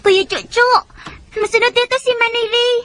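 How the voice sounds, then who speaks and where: A woman's voice: very high voice, fairly even intonation, moderate pace. A woman playing a poor, affectionate little sister in a radio drama, childlike and plaintive, with music behind her. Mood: caring. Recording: loud music under the voice. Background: drama background music.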